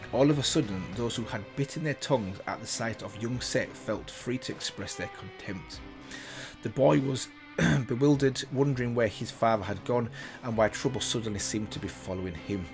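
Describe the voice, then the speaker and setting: A man hosting a reaction video, reading a story aloud in a casual way with slips and self-corrections, remarking on it as he goes. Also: fairly low pitch.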